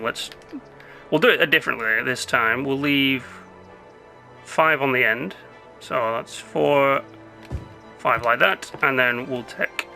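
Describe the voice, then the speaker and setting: A young man talks his way through a city-building game in a loose, rambling patter, often breaking off mid-sentence. His voice is very bright but a little hoarse, as if he is losing it. Soft game music plays in the background. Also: medium pitch. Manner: chatty, rambling, self-interrupting. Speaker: gameplay commentator. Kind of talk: casual gameplay commentary.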